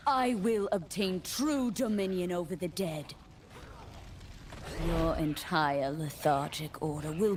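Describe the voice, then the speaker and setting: A woman's voice: medium pitch, a little hiss or hum, natural voice. A woman playing a cold, power-hungry necromancer villain in a video game, imperious, threatening and disdainful. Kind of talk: dramatic video game voice acting.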